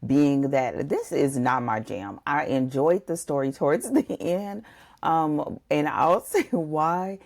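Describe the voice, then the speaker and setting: A woman's voice: low-pitched, animated, takes it slowly, creaky, darkish tone. A woman co-hosting a podcast, discussing a book conversationally and thinking aloud in a discursive way. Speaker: podcast co-host.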